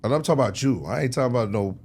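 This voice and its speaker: A man's voice: medium pitch, expressive, racing, a little mellow, slightly rough. An American man giving casual, emphatic, preachy advice as an interview guest, often checking the listener follows with tag questions. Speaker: music industry executive, interview guest.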